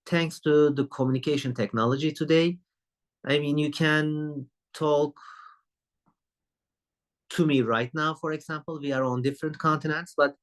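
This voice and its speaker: A man's voice: on the high side, fairly even intonation, moderate pace, pauses often. Turkish-accented man lecturing, checking with "right?". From Turkey. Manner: frequent tag question "right?" and filler "um". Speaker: university lecturer. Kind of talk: recorded online lecture. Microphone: computer mic on a video call.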